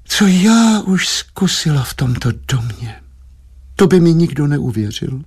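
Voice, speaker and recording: A man's voice: very animated, a little mellow, slightly rough. A man voicing an anxious, doting wife and mother in an audiobook, moaning and fretful, full of insistent questions. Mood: wailing complaint. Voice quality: high, plaintive, moaning.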